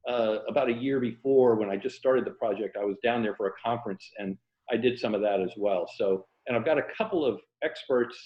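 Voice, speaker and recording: An American man talks casually as an interview guest, telling stories in a reflective, self-deprecating way. His voice sits at an average pitch. The room is clearly echoey and the sound is a little dull and lo-fi. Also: fairly quick. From Florida, USA. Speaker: interview guest, fiction writer and former journalist. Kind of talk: casual interview conversation about writing.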